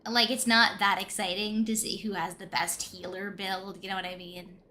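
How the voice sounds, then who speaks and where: A woman's voice: mid-range voice, level tone, moderate pace, bright, forceful tone. A woman live streamer chatting casually and thinking aloud as she comments on a game.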